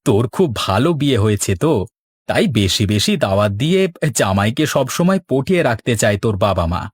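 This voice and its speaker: A man's voice: on the low side, big pitch swings, normal speed, slightly rough, no echo, clean studio sound. A man voicing a cheeky, quarrelsome young village husband in a cartoon, with a teasing, argumentative manner. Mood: bickering. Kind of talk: cartoon dialogue.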